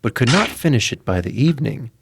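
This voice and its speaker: A man's voice: mid-range voice, sing-song, fast talker, creaky, background noise. A man narrating a scripted fairy tale as a storyteller.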